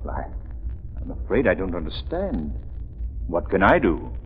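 An actor playing a wealthy, gallant gentleman sleuth in a 1930s radio drama. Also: sits low, wildly swooping pitch, measured pace, slightly rough, full character voice. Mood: concerned. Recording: tinny, noisy 1930s radio recording.

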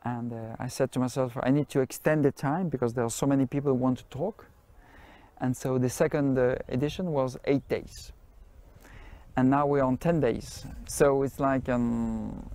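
A middle-aged man organizing a festival, speaking outdoors in a forest with many pauses and fillers. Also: mid-range voice, steady tone, creaky, warm tone, no room sound. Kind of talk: casual interview answers.

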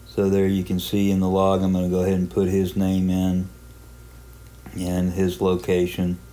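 A man giving a casual, unhurried tutorial narration, explaining a hobby software program step by step in plain terms. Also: low voice, monotone. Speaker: amateur radio hobbyist presenting a software walkthrough.

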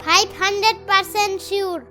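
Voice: extremely high pitch, level tone, fast, pushed and bright, faint music behind. A performer voicing a cheeky, eager, food-loving little child in a cartoon. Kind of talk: animated cartoon voice acting.

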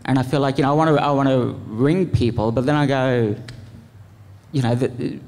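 A man speaking informally as a panelist, earnest and impassioned, in a halting, rambling way. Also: mid-range voice, steady tone, a little mellow. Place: large event venue, live panel. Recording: echoey hall with long reverb.

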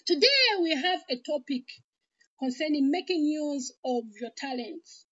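A woman's voice: sits high, unhurried. A woman with a Zambian accent preaches informally on a livestream, devoutly chatting to viewers, repeating phrases and exclaiming blessings. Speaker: online preacher. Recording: dull lo-fi livestream with music behind.

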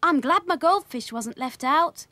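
A woman's voice: high-pitched, lively intonation, a little slow, bright, forceful tone, dry recording, pitched above the performer's own voice, full character voice. A woman voicing an earnest young boy who loves his pets, in an eager, plaintive children's storybook style.

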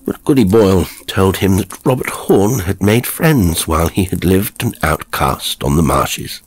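Deep, slow male horror story narrator. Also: lively intonation, slight background noise. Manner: measured, theatrical storytelling. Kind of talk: scripted literary narration.

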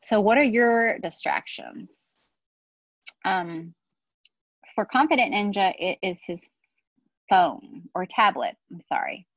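A woman in her forties reading a picture book aloud to children, deliberate and explanatory, with casual asides. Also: mid-range voice, animated, no room sound, lo-fi sound. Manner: deliberate, explanatory, speaking to young listeners. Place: outdoor patio beside trees.

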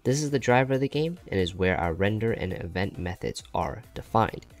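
A man with a fairly low voice and a noticeable creak reads a scripted tutorial in the role of a game developer presenter. He is recorded as a close voice-over, with soft music playing behind him. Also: expressive, brisk. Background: faint background music.

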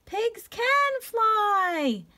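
An exuberant little storybook pig voiced by a woman reading aloud, squealing high above normal speech. It is cheerful and bursting with excitement, with big swoops in pitch. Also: drawn-out words, no room sound, exaggerated voice. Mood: excited.

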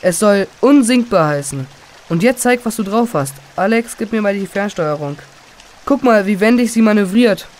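A performer playing a confident, boastful, excitable boy in a children's radio play. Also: mid-range voice, moderate pace, a little hiss or hum, lightly acted. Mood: cocky. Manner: cocky, dismissive, eager.

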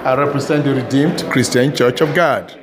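A man from Nigeria introduces himself and his church, speaking in a measured way with pauses. Crowd chatter fills the hall behind him. The sound comes from a lapel mic. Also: mid-range voice. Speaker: church delegate. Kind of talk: casual interview. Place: indoor hall by a staircase.

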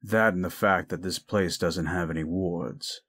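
A man playing a jaded, reclusive werewolf in an audio roleplay drama, gruff, curt and sardonic. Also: sits low, fairly even intonation, unhurried, a bit bright, exaggerated voice. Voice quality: gruff, gravelly.

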